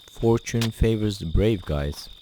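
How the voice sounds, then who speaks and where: A man's voice: lowish voice, slow, very noisy. A man from Pakistan whose first language is Urdu, living in England, chatting casually with many fillers while playing a game on a poor home mic. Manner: rambling, self-deprecating, many fillers. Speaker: gaming channel host. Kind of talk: casual let's play commentary. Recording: loud game music behind.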